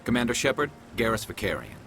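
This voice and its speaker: An actor playing a driven, frustrated alien police investigator in a video game, intense and distrustful. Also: male voice, medium pitch, big pitch swings, quick pace, noisy background. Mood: frustrated, earnest.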